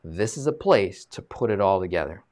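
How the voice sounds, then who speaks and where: A man in his thirties hosting a live video-call interview, animated and full of fillers, speaking from a small room. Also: sits low, moderate pace, slight background noise. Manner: animated, gestures a lot, many fillers. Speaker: host. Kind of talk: casual live-stream interview. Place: small room, plain green wall. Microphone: video call webcam.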